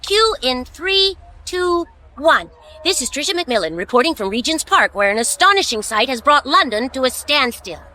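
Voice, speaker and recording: An actress playing a brisk, image-conscious television reporter in a radio drama, with polished delivery. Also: female voice, high voice, very animated, pushed and bright, full character voice, low-quality recording. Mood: brisk, professional.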